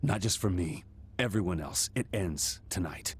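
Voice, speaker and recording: A man's voice: low-pitched, lively intonation, moderate pace. An actor playing a scrappy, defiant everyman hero in a video game, blunt and cocky. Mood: resolute. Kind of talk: video game dialogue acting. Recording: echoey, music underneath.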